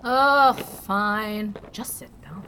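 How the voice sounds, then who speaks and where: A woman playing a lazy, complaining but playful young traveler in a fantasy audio drama, with a whiny, informal, expressive delivery. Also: medium pitch, drawn-out words, a little hiss or hum, rough recording. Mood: grumbling.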